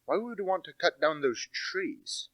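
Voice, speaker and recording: A man's voice: a little high, lively intonation, unhurried, a bit bright, no room sound, dull audio. Young man as a pondering amateur detective, dry sound. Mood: frustrated, puzzled. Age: young adult. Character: thoughtful male amateur detective, puzzling and terse. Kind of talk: radio play dialogue.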